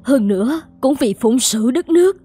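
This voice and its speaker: A woman plays a gentle middle-aged cartoon mother, full of worry. Her high voice is soft-edged and mellow, pleading earnestly over light background music. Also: lively intonation, normal speed, husky, no echo. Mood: pleading, anxious. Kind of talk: animated cartoon drama dialogue. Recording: music behind the voice.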